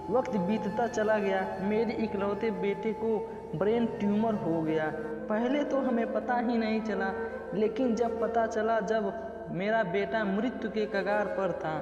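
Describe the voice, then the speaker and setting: A man narrating a scripted moral story in the first person. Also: high-pitched. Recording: muffled, echoey reverb with loud background music. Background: music.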